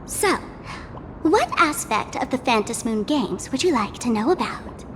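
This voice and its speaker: A woman's voice: fairly high pitch, animated, measured pace, big-room sound, a little hiss or hum. A woman voicing a mischievous masked jester woman in a video game, speaking in a teasing, theatrical, smug way. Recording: game cutscene with loud music.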